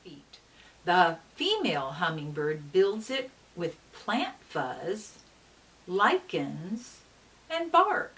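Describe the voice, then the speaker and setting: A woman teacher giving an educational read-aloud for children, explaining as she goes with deliberate, instructive phrasing and emphasis on key words. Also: on the low side, big pitch swings, fairly bright tone. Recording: distant mic with faint hiss.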